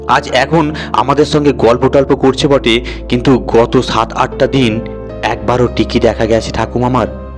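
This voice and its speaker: Male narrator, medium pitch, dramatised scripted storytelling, conversational, expressive, faint background music. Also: unhurried.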